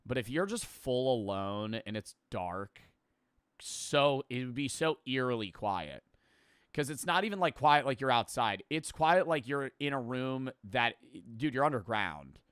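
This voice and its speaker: Bright, crisp guy reacting excitedly. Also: mid-range voice, a little slow, no echo, studio quality. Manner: excitable, swearing, riffing jokes. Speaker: streamer reacting to a video. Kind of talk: casual reaction commentary.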